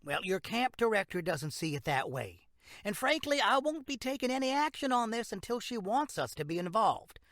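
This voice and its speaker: A man's voice: high-pitched, big pitch swings, normal speed, fairly bright tone, no room sound, clean studio sound. A man acting as a frank, condescending small-town sheriff in an audio drama. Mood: firm, dismissive. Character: dismissive, bureaucratic small-town male sheriff.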